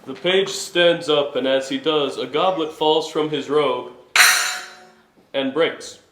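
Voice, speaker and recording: A man's voice: a little high, steady tone, slow, fairly bright tone, slight background noise. A man reading scripted stage directions at a live musical reading in an echoey hall. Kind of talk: scripted present-tense stage narration.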